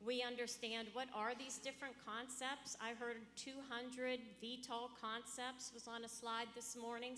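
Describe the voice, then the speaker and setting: A woman with a fairly high, bright voice speaks on a conference panel. The sound rings around a hall, with faint noise behind her. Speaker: panelist, aviation regulator.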